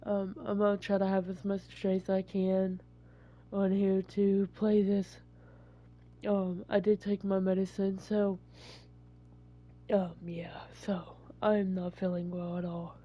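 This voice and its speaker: A woman with a stuffy cold talks in a rambling, apologetic way. Her pitch stays flat and even. The recording sounds dull and lo-fi. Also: takes it slowly. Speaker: gaming YouTuber. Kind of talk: casual let's-play intro.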